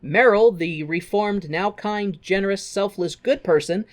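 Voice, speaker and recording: A woman's voice: medium pitch, a little slow. A woman co-hosting a podcast, recapping a story in a casual, humorous and theatrical way. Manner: theatrical, playful. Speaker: podcast co-host.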